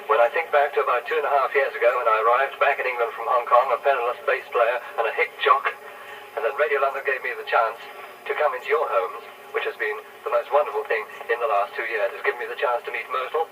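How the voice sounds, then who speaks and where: A man's voice: medium pitch, steady tone, fast talker, pushed and bright, slight background noise. A man giving a personal farewell message as a radio disc jockey, in a distant archive recording.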